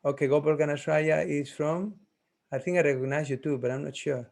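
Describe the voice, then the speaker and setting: A man teaching a class on a video call, taking roll in a friendly, halting way, thinking aloud as he goes. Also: fairly high pitch, steady tone, vocal fry, a little mellow.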